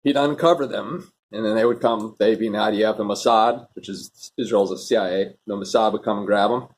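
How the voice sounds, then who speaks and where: A man delivers off-the-cuff political commentary in a low, husky voice. He rambles casually and is strongly opinionated. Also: a little fast.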